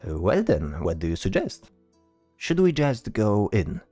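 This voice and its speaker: A man voicing a polite, hesitant bard in a fantasy audio drama, speaking in a halting, showy, courteous way. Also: fairly low pitch, huge pitch swings, warm tone, no echo, faint music behind, full character voice. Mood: sly, suggesting. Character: chatty, polite, hesitant male bard spellcaster.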